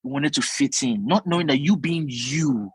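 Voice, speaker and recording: A man's voice: on the high side, moderate pace, breathy edge. A man from Nigeria with a Nigerian accent, chatting casually on a podcast and acting out the people in his stories. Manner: animated storyteller, acts out quotes, laughs often. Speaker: podcast co-host. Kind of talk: casual chat.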